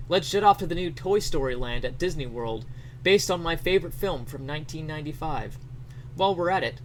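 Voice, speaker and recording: A man's voice: fairly high pitch, a little hiss or hum, poor audio. A man doing a mock dramatic reading, theatrical in delivery, with sarcastic asides.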